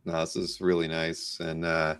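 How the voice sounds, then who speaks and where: A man's voice: very deep voice, monotone, normal speed, a bit bright, smooth. A man hosting a casual interview in an easygoing, agreeable, conversational way. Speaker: interview host.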